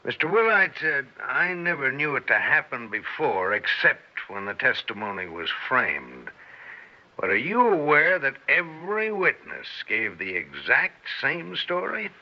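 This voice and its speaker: Skeptical, plain-spoken officer, unhurried old radio drama delivery, muffled lo-fi recording. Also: male voice, mid-range voice, huge pitch swings. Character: skeptical, plain-spoken male police officer, drily resigned.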